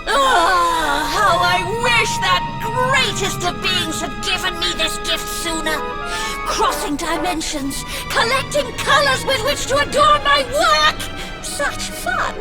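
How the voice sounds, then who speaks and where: A woman's voice: very high-pitched, slow, rough recording. A woman playing a vain, gleefully wicked monster artist in a theatrical, gloating way for a video game. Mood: gloating glee. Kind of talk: video game villain voice acting. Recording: game music behind the voice.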